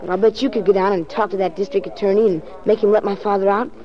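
A woman's voice: mid-range voice, steady tone, fast, lightly acted. A woman playing a small, plucky, stubborn boy with earnest, slangy talk in a 1940s radio drama. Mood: hopeful, pleading. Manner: slangy, insistent kid talk. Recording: muffled 1940s radio recording.

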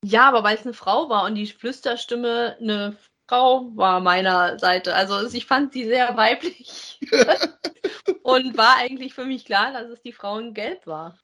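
A woman's voice: on the high side, a little slow, bright, forceful tone. A woman co-hosting a podcast, talking casually and conversationally as she reviews audio dramas with a partner. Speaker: podcast co-host.

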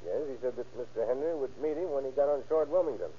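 An actor playing a deferential, plain-spoken boat captain in an old radio drama. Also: male voice, medium pitch, fast, mellow, lightly acted. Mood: measured testimony. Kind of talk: 1940s radio acting. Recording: muffled, hissy old radio recording.

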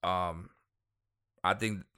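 A man with a lowish, smooth voice recounts a true crime case in a loose, chatty way. He wanders into asides and restarts his sentences often. The recording is close and dry. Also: steady tone, very slow, bright, forceful tone, no room sound. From Dominican Republic, raised in Queens. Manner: rambling, full of fillers and self-corrections, chuckles aside. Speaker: true crime podcast host. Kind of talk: casual storytelling.

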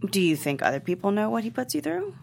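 A woman's voice: mid-range voice, wildly swooping pitch, quick pace, vocal fry, a little hiss or hum. A woman playing an idealistic, outspoken college-age daughter in a scripted table read. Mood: indignant.

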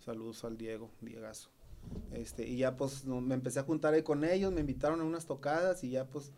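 A man's voice: medium pitch, steady tone, darkish tone. Slangy middle-aged Mexican man. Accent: Mexican Spanish. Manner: slangy, halting with many fillers. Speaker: guest. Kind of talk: casual podcast chat.

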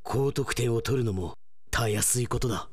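A man's voice: mid-range voice, moderate pace, slightly rough, pitched below the performer's own voice, close to an everyday voice. A man acting in a radio drama as a proud, naive, hot-headed alien investigator, speaking in an earnest, melodramatic way. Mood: smug.

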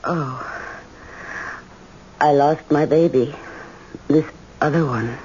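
An actress playing a fragile, lovesick young daughter in an old-time radio drama, speaking in a plaintive, halting way. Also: female voice, sits low, a little mellow. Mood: dazed, broken. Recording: muffled, noisy old radio recording.

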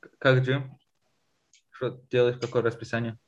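A man's voice: mid-range voice, little pitch movement, moderate pace. Muffled casual male podcast co-host. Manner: mixes English words into his speech. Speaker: podcast co-host asking questions. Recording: dull, lo-fi sound.

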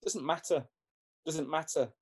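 A man's voice: on the high side, measured pace, breathy edge. A man, a musician, talking casually in an interview, rambling with many restarts and fillers. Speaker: guest musician.

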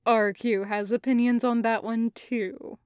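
A woman voicing a reserved, polite man with dry humour and a soft-spoken, measured delivery in an audiobook-style story. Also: fairly high pitch, steady tone, smooth, no room sound, natural voice. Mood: amused, mild.

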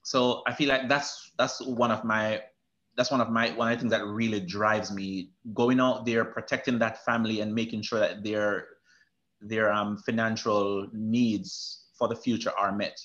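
A man's voice: lowish voice, steady tone, normal speed, fairly bright tone, lo-fi sound. A man from Jamaica with a Jamaican accent, a guest talking casually in an interview, often restarting his sentences. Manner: hesitant, with many restarts and fillers. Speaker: guest, retirement specialist.

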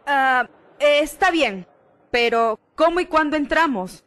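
A woman's voice: sits high, animated, unhurried, fairly bright tone. A woman playing a timid, easily frightened schoolgirl in a radio drama. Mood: hesitant.

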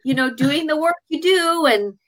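A woman's voice: sits high, moderate pace. Frank middle-aged Canadian woman. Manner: frank, conversational, with hesitant restarts. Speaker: interview guest, traditional healer. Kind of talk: candid personal storytelling.

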